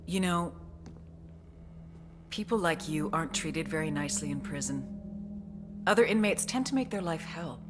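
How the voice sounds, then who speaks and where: An actress playing a tough, coolly menacing American intelligence agent, hard-edged and sardonic, in a video game. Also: female voice, sits low, lively intonation, moderate pace, pauses often, background noise. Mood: cold menace. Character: tough, foul-mouthed, coolly menacing female CIA agent. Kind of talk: dramatic video game cutscene acting.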